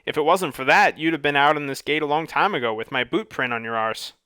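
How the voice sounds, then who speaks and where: A man voicing a gruff, touchy male monster with a bear's head, roaring and blustering, raising his pitch. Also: fast talker, sharp tone, no room sound, clean studio sound. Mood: grudging.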